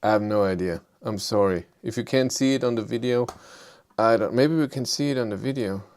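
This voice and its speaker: Live host, male, thick German accent, mid-pitched voice, low-key and halting delivery. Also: darkish tone. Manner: hesitant, low-key, many fillers, self-deprecating. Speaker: livestream host. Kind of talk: casual live chat answering viewers.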